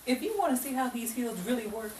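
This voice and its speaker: A woman's voice: on the high side, animated, fast. A playful, exclamatory woman directing and bantering casually with her cast during a rehearsal. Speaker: host and director of an old-time radio comedy troupe. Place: echoey room with cast members around. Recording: noisy, echoey room, distant mic, loud chatter behind.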